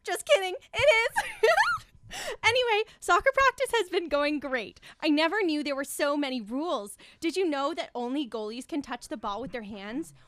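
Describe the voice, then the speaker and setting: Young woman as chirpy little schoolgirl, high-pitched, swooping intonation, naive, campy radio comedy. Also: animated, normal speed, fairly bright tone, no echo. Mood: perky. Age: young adult. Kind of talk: campy radio soap-comedy acting, diary narration. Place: small radio studio. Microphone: studio microphone on stand.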